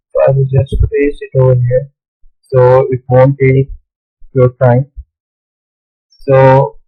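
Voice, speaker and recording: A man whose first language is Urdu, walking through a screen tutorial in a halting way, often pausing on "okay, so". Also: mid-range voice, slightly rough, highs cut off. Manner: halting, with many "okay, so" fillers. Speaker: tutorial host. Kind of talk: screen-recorded tutorial walkthrough.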